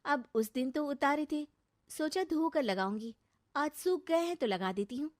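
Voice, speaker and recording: A woman's voice: high-pitched, animated, unhurried, no room sound, studio quality, voice pushed up. A woman voicing a sensible, patient young daughter-in-law in a cartoon story, explaining herself with mild protest. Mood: matter-of-fact. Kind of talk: Hindi cartoon story acting.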